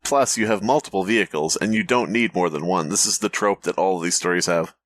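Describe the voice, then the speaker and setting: A man's voice: sits low, brisk. A man co-hosting a casual comedy podcast with a sardonic, profane way of talking. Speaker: podcast co-host.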